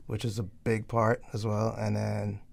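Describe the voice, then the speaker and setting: Gravelly, flat-voiced man, musician guest. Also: fairly low pitch, unhurried. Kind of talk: casual radio interview.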